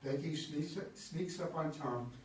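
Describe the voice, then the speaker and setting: A man's voice: fairly high pitch, unhurried. A man reading out stage directions as scripted narration at a play reading. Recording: echoey room, distant mic, noisy.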